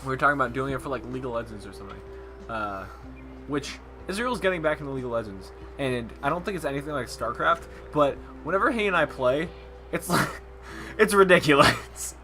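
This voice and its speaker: A man's voice: mid-range voice, lively intonation, a little fast, over music. A young man hosting a gaming channel, reading game text aloud and breaking off into jokey casual chat.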